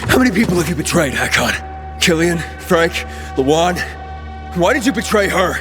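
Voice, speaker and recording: Intense young video game hero, accusing, bit high male voice, big hall reverb. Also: very animated, moderate pace. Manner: accusing, intense, pressing with questions. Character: earnest young male hero confronting a betrayer. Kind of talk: dramatic video game voice acting. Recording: long hall reverb, music behind.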